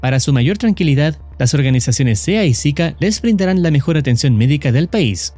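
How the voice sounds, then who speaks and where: A man with a slightly high but soft, mellow voice narrates a scripted horror explainer. His measured storytelling moves through a lively range of pitch. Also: soft background music.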